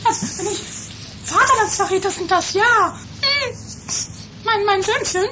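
A woman's voice: very high voice, animated, unhurried, bright, forceful tone, heavily acted. A woman playing a domineering, melodramatic mother in an improvised comedy radio play, theatrical and bossy. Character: shrill, domineering, melodramatic mother of a teenage son. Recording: noisy amateur 1980s tape.

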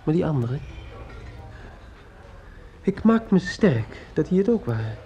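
Bitter lovelorn student son, high-ish mellow voice, formal, old dull radio play sound. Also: male voice, very animated, measured pace. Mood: bitter, probing. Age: young adult. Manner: formal, pointed, philosophizing. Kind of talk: Dutch radio drama acting.

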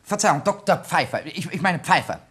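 A cheeky grammar-school pupil in a radio comedy. His voice is husky and swings widely in pitch, with polite, hesitant phrasing that feigns innocence and deference. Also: animated, fairly quick, a bit bright. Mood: mock-innocent, polite. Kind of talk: comedic German radio play acting.

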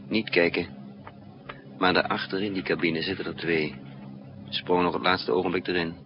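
Man acting a low-voiced, wry fugitive spy. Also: flat delivery, normal speed, natural voice. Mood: guarded, calm. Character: shrewd fugitive male spy, calm and teasing. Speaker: lead character and narrator. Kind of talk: 1960s Dutch radio drama acting and narration. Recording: muffled noisy 1960s radio recording.

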